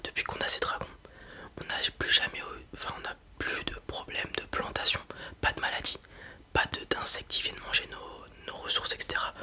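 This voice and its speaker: Whispering ASMR roleplayer, soft and unvoiced. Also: a little fast. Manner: explains step by step, hesitant and informal, often checking with "ok?". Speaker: roleplay host playing a dragon breeder advising a customer.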